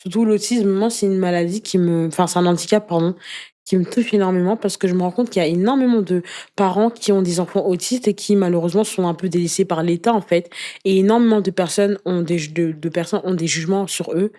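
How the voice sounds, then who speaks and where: An activist woman speaks as a guest in a relaxed interview. Her voice sits fairly low and has a mellow, warm tone. She talks earnestly about personal commitments, often pausing and repeating small words while searching for phrasing. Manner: halting, searching for words with repetitions. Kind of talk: personal testimony in casual conversation.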